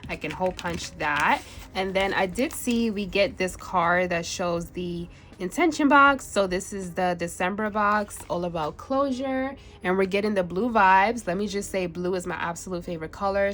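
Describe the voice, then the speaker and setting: A woman's voice: lowish voice, moderate pace, fairly bright tone. A woman with a congested, stuffy voice chatting through an unboxing on her planner vlog, laughing often between phrases. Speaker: planner vlogger.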